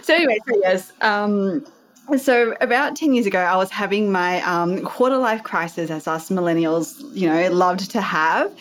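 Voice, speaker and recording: A woman's voice: mid-range voice, moderate pace. Woman, 30s, Australian accent, chatty guest, bubbly, self-deprecating. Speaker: entrepreneur guest. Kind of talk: casual interview storytelling.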